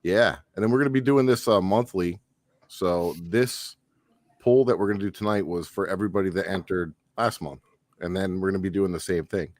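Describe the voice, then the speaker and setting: A man's voice: low-pitched, brisk, darkish tone, no room sound. A man co-hosting a live paranormal talk show from a home studio, upbeat and announcing a giveaway. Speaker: co-host. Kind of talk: casual live-stream chat. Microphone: headset.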